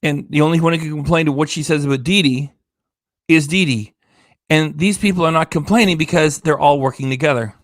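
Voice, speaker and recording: A man's voice: fairly high pitch, brisk, no room sound, studio quality. A man hosting a reaction livestream, ranting with sarcasm and swearing, tired and losing his voice. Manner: sarcastic, indignant, swears often. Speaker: reaction channel host.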